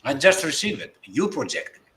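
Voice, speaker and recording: A man's voice: mid-range voice, huge pitch swings, a little fast, bright, forceful tone. A man from Greece with a Greek accent, speaking English as a second language in a hesitant, apologetic way with many fillers over a video call. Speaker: guest presenter. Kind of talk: casual livestream chat.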